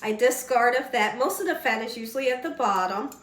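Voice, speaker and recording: Slightly hoarse, chatty woman cooking at home. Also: fairly high pitch, expressive, fairly quick, a bit bright, reverberant, recorded from a distance. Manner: chatty, folksy, keeps checking in with "right?". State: slightly hoarse, losing her voice. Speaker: cooking show host. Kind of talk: casual cooking demonstration. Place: home kitchen.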